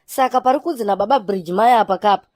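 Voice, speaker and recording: A woman's voice: mid-range voice, quick pace, a little mellow, no echo, natural voice. Woman voicing a snobbish youngster, dry sound. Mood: smug. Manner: haughty, mixing English and Shona. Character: snobbish, swaggering youngster who disdains township life.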